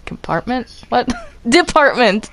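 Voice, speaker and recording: A woman's voice: fairly high pitch, very animated, slow, a bit bright, poor audio. A woman chatting casually while gaming, giggly and rambling as she reacts aloud. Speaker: player in a Minecraft roleplay group.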